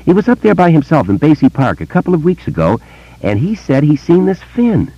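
A man voicing a curious, excitable small-town boy who talks in a quick, slangy way. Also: on the high side, dry recording, pitched above the performer's own voice, exaggerated voice. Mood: excited. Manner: breathless, excitable, slangy kid talk. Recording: dull lo-fi dry recording.

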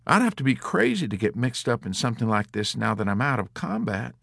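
A man's voice: mid-range voice, big pitch swings, brisk, gravelly, fairly bright tone, no room sound, clean studio sound, full character voice. A man voicing a self-important, stubborn airman in an audiobook, smug yet easily alarmed.

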